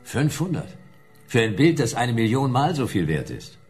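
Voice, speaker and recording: A man's voice: medium pitch, big pitch swings, moderate pace, faint music behind, close to an everyday voice. A man playing a skeptical middle-aged private detective in a radio play, dry, questioning and unimpressed.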